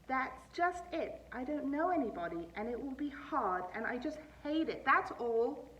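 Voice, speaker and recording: A woman's voice: high voice, sing-song, moderate pace, a bit bright, reverberant, distant mic, dull audio, a little hiss or hum, pitched above the performer's own voice. A woman voicing a nervous, reluctant female storybook character who whines and protests about going to a new place. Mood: whiny protest.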